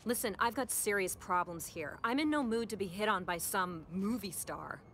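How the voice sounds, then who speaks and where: An actress playing a no-nonsense special forces soldier in a fighting game, curt and businesslike, with an American accent. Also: female voice, mid-range voice, animated, moderate pace, a bit bright, a little hiss or hum. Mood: defiant irritation.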